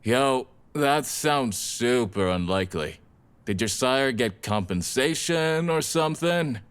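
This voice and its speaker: An actor playing a laid-back, friendly young vampire gang member in an audio drama, talking in casual slang. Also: male voice, medium pitch, expressive, takes it slowly, smooth. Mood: curious.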